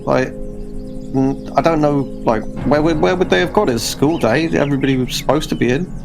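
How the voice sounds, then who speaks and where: A man's voice: on the high side, moderate pace, creaky, music in the background. A man playing a tabletop roleplaying game, talking casually in and out of character. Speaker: player.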